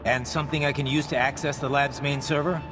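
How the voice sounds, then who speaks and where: An earnest cyborg mercenary played by a male actor speaks in a mid-pitched voice with a dry edge. It is video game acting, with light music behind the lines. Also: level tone, a little fast, close to an everyday voice. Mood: troubled. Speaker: field operative.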